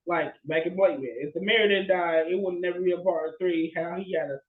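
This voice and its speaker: A man's voice: sits high, fairly quick, echoey room, phone-quality sound. A man co-hosting a podcast, retelling movie scenes casually and animatedly, often checking in with his listener. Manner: animated storytelling with frequent "right?" check-ins. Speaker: podcast co-host.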